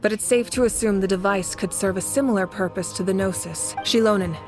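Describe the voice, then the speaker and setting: A woman playing a gracious, composed female ruler in a video game, speaking in a measured, authoritative manner. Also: mid-range voice, a little mellow, faint music behind, lightly acted. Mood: commanding.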